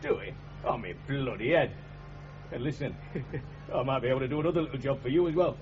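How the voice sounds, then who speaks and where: An actor playing a bullying, sneering foreman with a gruff, bossy manner and a Northern English accent in a 1970s radio drama. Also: medium pitch, fairly quick, music in the background, natural voice. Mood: sneering, taunting. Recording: dull, hissy 1970s radio broadcast.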